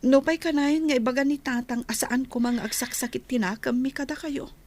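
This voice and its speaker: Highish-voiced Ilocano young woman. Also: steady tone, fairly quick, darkish tone. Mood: pleading, remorseful. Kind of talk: radio drama acting.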